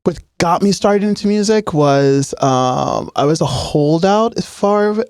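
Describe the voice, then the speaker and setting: A man's voice: a little high, measured pace, clear, resonant tone, no room sound. Laid-back young man from Hawaii, dry studio sound. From Hawaii, Oahu north shore. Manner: relaxed, rambling with many "like"s and "um"s. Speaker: musician guest. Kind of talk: casual interview chat.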